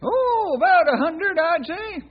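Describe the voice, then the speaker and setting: An actor playing a folksy, good-natured old cowboy sidekick in an old radio western, with rural Western speech. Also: male voice, extremely high pitch, lively intonation, unhurried. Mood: earnest. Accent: rural American West. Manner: folksy, drawling country phrasing, jokey. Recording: dull lo-fi old radio recording.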